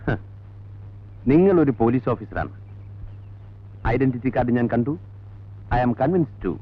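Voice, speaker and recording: An actor playing a formal, guarded head monk of an ashram in a 1980s film drama, speaking in a measured, officious way. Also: male voice, mid-range voice, animated. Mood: firm, polite. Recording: tinny band-limited old film soundtrack.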